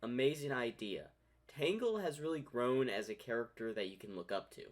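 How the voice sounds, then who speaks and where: A man's voice: mid-range voice, expressive, normal speed. Man thinking aloud in a reaction video. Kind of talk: casual reaction commentary.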